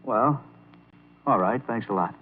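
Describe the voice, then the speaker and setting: An actor playing an earnest, awkward young man with a halting, boyish manner in an old-time radio drama. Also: lowish voice, husky. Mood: bashful. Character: earnest, awkward young piano player narrating his story. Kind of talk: 1940s radio drama acting and first-person narration. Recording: tinny old radio recording with music.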